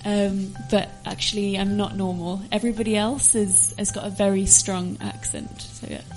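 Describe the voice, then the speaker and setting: A woman's voice: mid-range voice, expressive, normal speed, darkish tone, soft background music, rough recording. A woman from England, a guest volunteer, chatting in a friendly way while answering interview questions.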